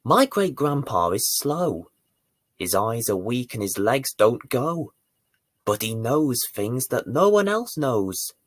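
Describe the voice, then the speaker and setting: A man reading a bedtime story to young children as its presenter, with deliberate, theatrical emphasis. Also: medium pitch, sing-song, dry recording. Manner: deliberate, theatrical emphasis with dramatic swells and hushes.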